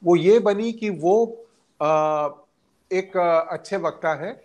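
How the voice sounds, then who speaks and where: A man with a slightly high-pitched voice delivers political commentary at a slow pace. He speaks off the cuff like a journalist analysing events, often stopping mid-sentence to search for the next phrase. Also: darkish tone. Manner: halting, with long hesitant pauses mid-sentence.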